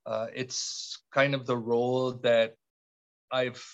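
A middle-aged man from Canada talks slowly and thoughtfully, often restarting his sentences. His voice sits at an ordinary male pitch. The audio is a bit dull and muffled. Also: flat delivery, clean tone. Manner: thoughtful, halting, many restarts and fillers. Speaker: podcast guest. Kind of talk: reflective conversational interview.

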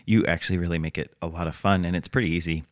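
A man's voice: low-pitched, fairly even intonation, very fast, no echo, clean studio sound. A man hosting a podcast in a conversational style. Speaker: podcast host.

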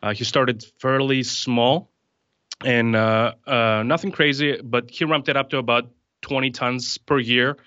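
A man from Greece in his thirties, explaining things in a relaxed, rambling way with plenty of fillers. His voice is bright and forward, recorded dry and close with a slightly dull, muffled top end. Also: mid-range voice, measured pace, no echo. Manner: relaxed, many fillers and restarts. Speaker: distiller, podcast guest. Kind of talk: casual podcast conversation.

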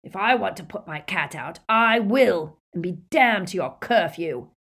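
Woman putting on a bellowing, gruff old barman. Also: lowish voice, unhurried. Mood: defiant, sarcastic. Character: gruff, defiant old male pub barman, quick-tempered.

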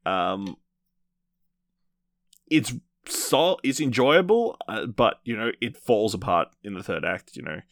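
A man hosting a podcast, casually reviewing films in a rambling, halting way with repeated false starts. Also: fairly low pitch, expressive, vocal fry, a bit bright, no room sound, studio quality. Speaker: podcast host. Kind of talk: casual film review chat.

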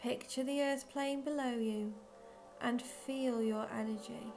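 Female meditation guide, fairly high voice, very unhurried gentle delivery with long pauses, soft music bed. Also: steady tone. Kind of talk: guided visualisation, slow scripted narration.

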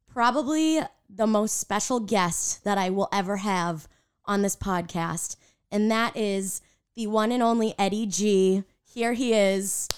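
A woman's voice: mid-range voice, clear, resonant tone. A young woman hosting a casual podcast, playful and teasing as she interviews her father. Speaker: podcast host.

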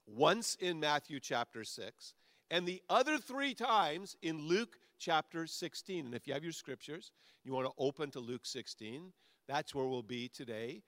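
A man's voice: on the high side, expressive, unhurried, a bit bright, dry recording. A male pastor preaching a sermon in a conversational way that builds to emphatic peaks.

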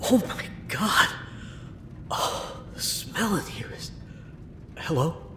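Audio drama casting: anxious young delivery guy, high voice, stammering, very animated pitch, noisy ambience behind. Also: slow pace, full character voice. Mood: worried. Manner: hesitant, stammering, jittery. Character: nervous, good-hearted delivery guy alone at a spooky mansion. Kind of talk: naturalistic audio drama acting.

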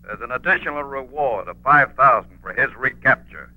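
A no-nonsense police commissioner in old radio style, heard through a telephone filter. His tone is piercingly bright and forceful, very dry, and brusque with authority. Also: mid-range voice, lively intonation, normal speed, no room sound, rough recording. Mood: stern warning. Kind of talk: 1940s radio acting.

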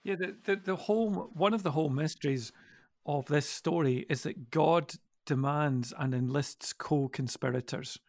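A middle-aged man speaking as a podcast guest in a reflective discussion, thoughtful and halting, with deliberate pauses. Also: fairly high pitch, no echo, clean studio sound. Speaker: podcast guest and church minister.

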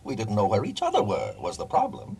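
A man's voice: lowish voice, wildly swooping pitch, brisk, creaky, warm tone, heavily acted. An actor playing a fussy, literal-minded male alien in a comic science fiction radio play. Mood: fussy, chiding. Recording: noisy old radio drama recording.

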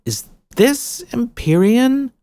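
A woman's voice: a little high, animated, takes it slowly, mellow, no room sound, pitched above the performer's own voice. A man voicing a young, nervous witch girl who rambles hesitantly with lots of fillers. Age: young adult.

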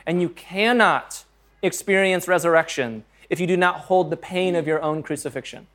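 A male pastor with a fairly high voice preaches in an earnest, conversational way. He moves between scripture reading and plain talk to the congregation and pokes fun at his own stumbles. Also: normal speed, a bit bright.

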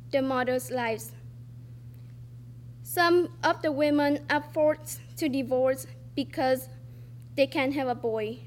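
Slow-reading teenage girl from Vietnam. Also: sits high, slight background noise. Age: teens. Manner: slow, careful reading with pauses. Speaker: student storyteller. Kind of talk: reading a personal essay aloud. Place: performing arts center stage.

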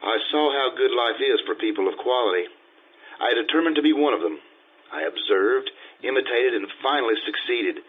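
A man plays a vain Italian social climber of forty in an old-time radio play. He talks like a fussy, self-important gentleman, with theatrical delivery and a slightly husky voice. The sound is dull and lo-fi. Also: medium pitch, animated, normal speed. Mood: boastful, confiding. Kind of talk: old-time radio drama acting with narration.

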